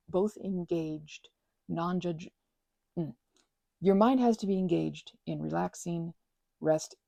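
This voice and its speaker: A woman chatting gently in a meandering ASMR ramble, full of fillers and restarts, speaking affectionately to her listeners. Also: lowish voice, vocal fry, dry recording. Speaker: ASMR creator. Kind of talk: soft-spoken ASMR ramble while crafting.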